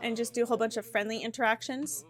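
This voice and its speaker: A woman's voice: fairly high pitch, fast. Chatty woman narrating her gameplay. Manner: chatty, animated, frequent ums and laughs. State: slightly hoarse. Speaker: gaming YouTuber. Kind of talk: casual gameplay commentary.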